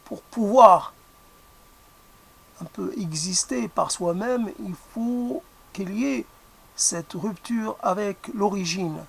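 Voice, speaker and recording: Slow, gravelly non-native French speaker. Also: mid-range voice. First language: not French. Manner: hesitant, many fillers and restarts, searching for words. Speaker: speaker on language and words. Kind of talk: reflective spoken musing.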